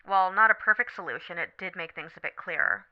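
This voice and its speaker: A woman playing a supportive, candid best friend on a late-night call in an ASMR roleplay, talking casually, gently and thoughtfully. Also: lowish voice, animated, quick pace, muffled recording, lightly acted. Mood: reassuring. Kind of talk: scripted ASMR roleplay, one side of a phone conversation.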